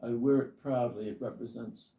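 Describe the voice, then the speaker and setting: An older man with a Boston accent, reminiscing in a life story interview in a halting, meandering way. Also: medium pitch, steady tone. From Boston area, United States. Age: older, 70s or above. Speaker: interviewee, retired army officer. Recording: roomy, distant, slightly muffled.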